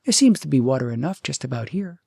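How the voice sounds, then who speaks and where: Man voicing a languid, careless young princess, lightly gravelly. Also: medium pitch, big pitch swings, quick pace, dark tone, no room sound, studio quality, exaggerated voice. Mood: careless, obliging. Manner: nonchalant, coolly detached.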